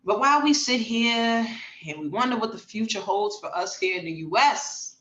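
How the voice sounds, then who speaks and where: Woman podcast co-host, casual news chat, emphatic, mid pitch, roomy sound. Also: normal speed, highs cut off. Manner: animated, emphatic storytelling.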